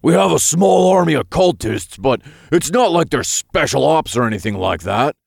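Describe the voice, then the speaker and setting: A brash little imp playing general, as a male narrator puts him on, barking orders in a gravelly, put-on growl. The voice sits high and swings wildly up and down, bossy and full of slang, like a storybook tough guy. Dry, close sound. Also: animated, moderate pace, no room sound, full character voice. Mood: blustering. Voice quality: gruff, put-on general's bark.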